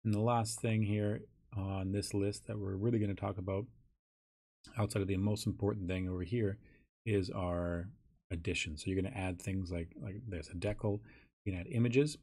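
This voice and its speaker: A hoarse, raspy man teaching a software tutorial in a casual way, thinking aloud with ums and restarts. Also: sits low, fairly even intonation, normal speed. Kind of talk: screen-recorded software tutorial.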